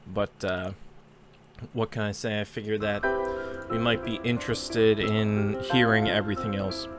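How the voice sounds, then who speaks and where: A man's voice: fairly low pitch, flat delivery, a little slow. A man hosting a casual let's play, chatting through the game with apologetic asides. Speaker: host of a gaming channel. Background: game music.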